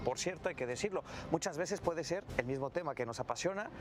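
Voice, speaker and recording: A man's voice: a little high, expressive, fairly quick, heavy background noise. A man presenting a broadcast news program, moving between scripted narration and interview questions.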